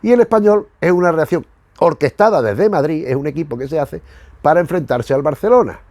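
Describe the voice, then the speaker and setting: A man's voice: on the high side, expressive, brisk, darkish tone, dry recording. A man from giving casual, rambling commentary as a host, often searching for words and rewording as he goes. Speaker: YouTube host reviewing retro cartoons.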